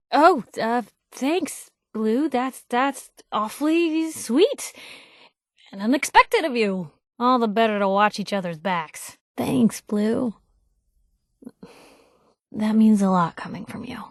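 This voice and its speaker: An actress playing a plucky, principled young woman reporter in a video game, speaking in a candid, wry, expressive, slangy way. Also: mid-range voice, dry recording, studio quality. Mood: warm, sincere. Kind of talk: video game companion dialogue, conversational storytelling.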